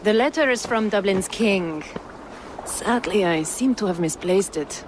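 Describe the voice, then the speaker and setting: A woman's voice: mid-range voice, expressive, unhurried, noisy background, close to an everyday voice. An actress playing a shrewd, courteous trader woman from the east in a video game, with formal, wry, playful phrasing. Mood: courteous, businesslike. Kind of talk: video game cutscene acting. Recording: music under the voice.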